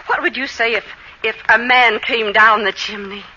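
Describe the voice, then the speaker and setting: A woman's voice: fairly high pitch, steady tone, moderate pace, sharp tone. An actress playing a patient, coaxing mother in a 1940s radio comedy. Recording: dull noisy vintage radio recording.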